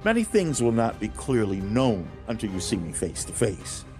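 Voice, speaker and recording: A man playing God in a dramatized audiobook, speaking directly to humankind in a grave, fatherly, deliberate way with emphatic enunciation. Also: fairly low pitch, wildly swooping pitch, over music. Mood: solemn, instructive.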